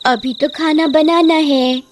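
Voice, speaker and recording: A woman voicing a sly, flirtatious witch who coaxes and teases with drawn-out calls in a cartoon horror story. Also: high voice, fairly even intonation, slight background noise. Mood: mock-sweet, menacing. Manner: coaxing, teasing, drawn-out calls. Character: sly flirtatious female witch luring men into a well.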